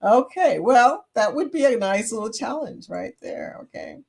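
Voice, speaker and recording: A woman's voice: on the high side, unhurried, creaky, husky. A middle-aged woman hosting a casual live chat, reading viewer comments aloud and laughing often. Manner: laughs often, chatty and animated, reads out comments. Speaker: livestream chat host.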